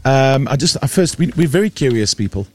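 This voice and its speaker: A man's voice: mid-range voice, animated, fairly quick. A South African man hosting a casual live radio show, chatty, teasing and curious with his guests.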